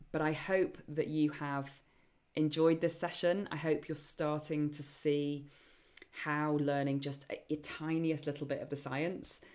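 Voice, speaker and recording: Croaky-voiced woman hosting a webinar. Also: low voice, darkish tone. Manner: chatty and enthusiastic, frequent ums. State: voice getting croaky. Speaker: webinar host and program founder. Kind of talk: live online Q&A session.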